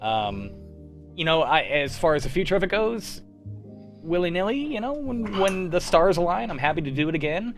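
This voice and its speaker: A man's voice: high voice, very animated, normal speed. Rambling young man with music behind. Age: young adult. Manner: rambling, enthusiastic, lots of fillers. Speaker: show organizer and host. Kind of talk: casual wrap-up chat on a livestream.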